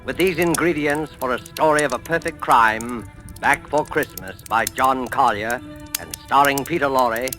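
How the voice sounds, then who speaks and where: A man's voice: mid-range voice, normal speed, sharp tone. A man announcing a suspense drama in dramatic scripted 1940s radio style. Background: music.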